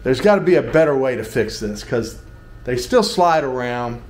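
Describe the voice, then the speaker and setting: A man's voice: mid-range voice, lively intonation, moderate pace, a little mellow. A man in his fifties with an American accent, chatting casually and thinking aloud as he demonstrates DIY, in a folksy, joking way. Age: 50s. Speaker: vlog host. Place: small tiled living room indoors. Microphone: handheld action camera, varying distance. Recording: echoey room, clear background noise.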